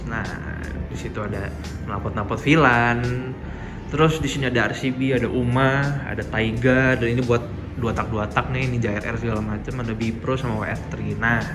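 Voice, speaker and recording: A man's voice: medium pitch, fairly even intonation, moderate pace. Young man vlogging casually over music. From Indonesia. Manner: informal, slangy, explanatory. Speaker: motorcycle modification vlogger. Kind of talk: casual vlog chat and product showcase.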